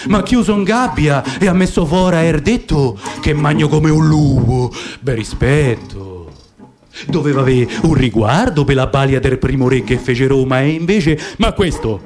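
Man doing a slow offended she-wolf, roman accent, lots of rise and fall. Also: fairly high pitch, animated, a little mellow, close to an everyday voice. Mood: indignant. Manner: slow, indignant. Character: indignant old she-wolf, proud nursemaid. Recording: guitar music behind.

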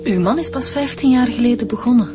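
An actress playing a matter-of-fact police detective. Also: female voice, on the high side, quick pace, over music, lightly acted. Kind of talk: radio drama acting.

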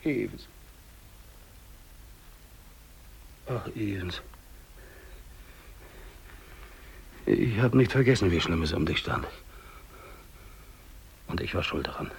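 A man's voice: sits low, expressive, normal speed, creaky. Faintly noisy old radio play, a doomed guilt-ridden polar explorer guy, grave n solemn, short phrases. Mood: broken, pleading. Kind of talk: 1950s German radio drama acting.

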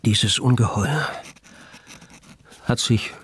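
An actor playing a shattered, dying gentleman writing a last letter in a radio drama, solemn and halting. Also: medium pitch, background noise. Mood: resigned sorrow.

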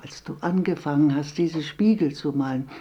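A woman's voice: sits low, normal speed, mellow, breathy edge, muffled recording. An actress playing a reserved elderly former sweetheart, a homely grandmother in a naturalistic radio drama. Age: older.

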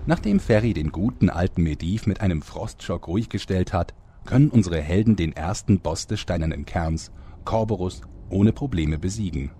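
A man's voice: low voice, lively intonation, moderate pace, warm tone, slight background noise. A man narrating a parody fantasy audio drama in a dry, composed, scripted style.

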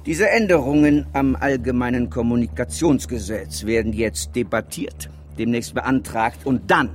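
A man's voice: mid-range voice, moderate pace, natural voice. An actor playing a domineering PR consultancy boss in a satirical radio drama, pompous and rhetorical as he briefs his team. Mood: indignant, scheming. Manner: pompous, rhetorical, piles up clauses. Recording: music behind the voice.

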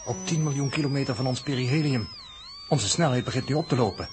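Actor as sober spaceship scientist, faintly noisy recording. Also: male voice, medium pitch, close to an everyday voice. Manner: measured, precise, explanatory. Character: sober, analytical male scientist aboard a spaceship. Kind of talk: 1960s Dutch radio drama acting. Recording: old noisy 1960s radio recording.

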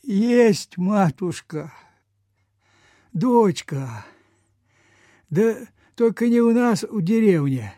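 An actor playing a frail, humble old peasant beggar in a Soviet radio play, halting, deferential and folksy. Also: male voice, sits high, expressive, dark tone, no room sound, studio quality, heavily acted. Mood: weak, pleading. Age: older.

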